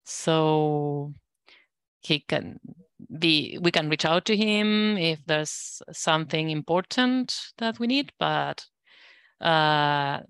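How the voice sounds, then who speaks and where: A woman with a low, smooth voice gives a status update in an online group meeting. She speaks at a slow, measured pace, and the sound is dry and close. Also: expressive, a bit bright, no echo. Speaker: working group lead giving a status update.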